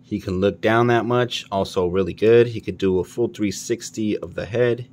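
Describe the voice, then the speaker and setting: A man's voice: on the low side, fairly even intonation, normal speed, a little mellow. A man hosting a casual toy review, speaking informally and enthusiastically with plenty of slang.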